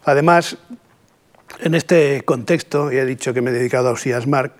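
A middle-aged man from Catalonia with a Catalan Spanish accent, giving a measured, reflective poetry lecture with pauses and rhetorical asides. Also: mid-range voice.

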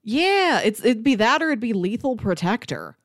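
A woman's voice: a little high, very animated, moderate pace, dry recording. Casual woman co-host, dry close sound. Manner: conversational, peppered with "like". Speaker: podcast co-host. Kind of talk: casual podcast chat.

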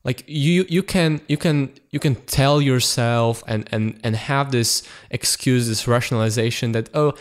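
A man's voice: mid-range voice, measured pace, few pauses. A man hosting a podcast, talking casually with many fillers and repeated words. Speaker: podcast host. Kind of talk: casual chat.

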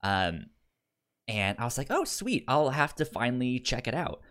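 A man co-hosting a casual podcast chat, talking informally and haltingly, with a stutter that repeats sounds and syllables. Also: medium pitch, big pitch swings, fairly bright tone, clean tone. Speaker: podcast co-host.

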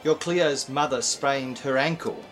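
A man's voice: medium pitch, unhurried, music in the background, natural voice. A man playing an easygoing, apologetic neighbour in an old-time radio play, casual and mild.

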